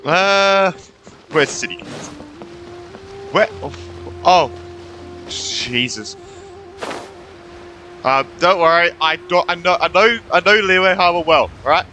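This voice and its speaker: A man's voice: high voice, slow, pauses often, sharp tone, faint music behind. A man giving casual playthrough commentary as a gamer, informal and playful, reacting aloud. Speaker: gamer, video host.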